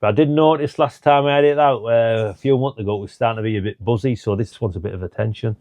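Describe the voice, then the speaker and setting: A man's voice: mid-range voice, fast talker, a little mellow, no room sound. A middle-aged British man giving a casual, chatty product rundown, thinking aloud in an informal way. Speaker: hobbyist product reviewer.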